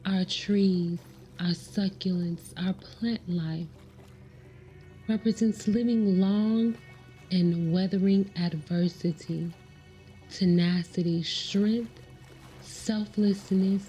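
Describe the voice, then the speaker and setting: A woman leading a guided meditation in a measured way, pausing between short phrases. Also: medium pitch, fairly even intonation, warm tone, soft background music, poor audio. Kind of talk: slow guided meditation narration.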